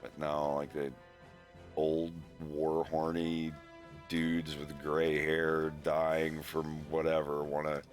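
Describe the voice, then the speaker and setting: Very deep-voiced rambling American man. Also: monotone, slow pace, faint music behind. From United States. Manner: rambling, frequent "like" and "dude", swearing. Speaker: streamer. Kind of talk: casual livestream chat.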